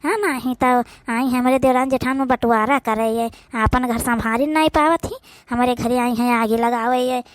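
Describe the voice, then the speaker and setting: A high, dry voice with an Awadhi accent carries this young village housewife acted by a woman. She is a wary, indignant cartoon sister-in-law, complaining bluntly and plainly. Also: fairly even intonation, moderate pace, dry recording, close to an everyday voice. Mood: resentful.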